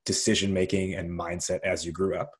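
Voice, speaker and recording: A man hosting an interview podcast, delivering a lively, sing-song scripted intro before chatting with his guest. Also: deep voice, brisk, breathy edge. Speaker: podcast host.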